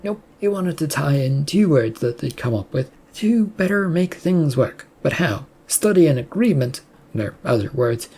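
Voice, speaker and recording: An audiobook narrator with a mellow, relaxed tone and a husky edge. The pitch is middling as the scripted fiction is read out. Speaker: story narrator.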